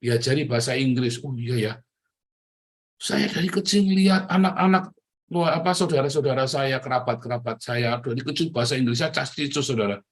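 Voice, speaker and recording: A man's voice: mid-range voice, brisk. A middle-aged man from Tegal preaching in a chatty sermon style, with a Tegal Javanese accent, often addressing listeners as brothers and sisters. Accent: Tegal Javanese (ngapak). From Tegal, Central Java, Indonesia.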